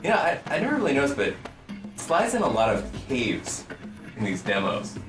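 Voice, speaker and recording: A man's voice: medium pitch, wildly swooping pitch, moderate pace. Wry, dry-joking man, distant roomy mic. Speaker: game developer. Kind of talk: casual developer commentary over gameplay. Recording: roomy, distant mic, game music behind.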